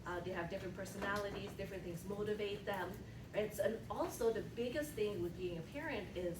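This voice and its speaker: Animated woman rallying a team in an echoey room. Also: mid-range voice, quick pace. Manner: animated, often asks "right?". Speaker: team leader. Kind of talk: motivational talk to a team. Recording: echoey room from far off, noisy.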